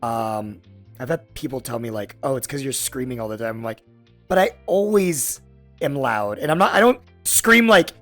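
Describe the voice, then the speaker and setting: A man in his thirties with a raspy voice, chatting casually and in a rambling, halting way as a podcast host. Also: mid-range voice, lively intonation, fairly bright tone, soft background music. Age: 30s.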